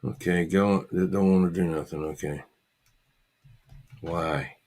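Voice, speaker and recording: A man with a fairly low voice hosts an informal livestream at a very slow pace. He talks in halting, repeated phrases to his viewers and often mutters asides to himself. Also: fairly even intonation, darkish tone. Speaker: livestream host. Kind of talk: casual livestream chat with viewers.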